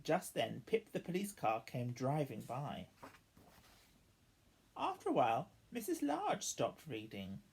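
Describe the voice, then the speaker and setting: A woman's voice: a bass voice, slow pace, vocal fry, husky. A woman reading a children's storybook expressively, as a storyteller who acts out the dialogue. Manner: animated, acting out each character's dialogue.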